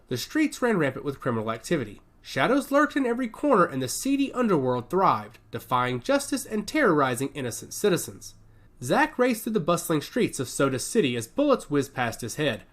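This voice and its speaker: Casting: male audiobook narrator, dramatic scripted delivery, slightly high pitch, highly varied intonation. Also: fairly bright tone.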